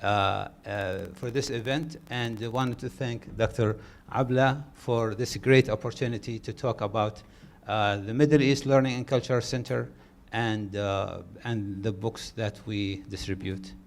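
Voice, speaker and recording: A man's voice: on the low side, fairly even intonation, unhurried. A man speaking as a guest at a panel event, talking hesitantly with many uhs. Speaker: guest speaker from a cultural center.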